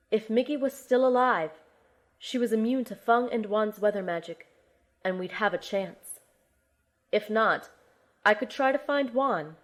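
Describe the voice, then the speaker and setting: A woman's voice: mid-range voice, a bit bright, natural voice. Woman acting a determined young operative narrating. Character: determined young female field medic and team operative narrating her mission. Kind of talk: first-person audio drama narration with dialogue.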